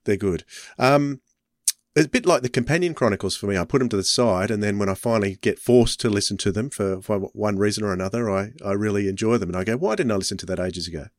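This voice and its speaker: A man's voice: lowish voice, fast talker, no echo, studio quality. A man hosting a podcast in a casual, rambling, informal way. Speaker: podcast host. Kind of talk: casual chat.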